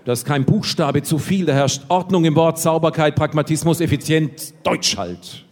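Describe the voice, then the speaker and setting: Ironic slam poet in a reverberant hall. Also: male voice, a little high, normal speed. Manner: ironic, punchline-driven, lively. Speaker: poetry slammer. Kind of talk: comic poetry slam performance on stage. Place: stage at a poetry slam event. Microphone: stage microphone.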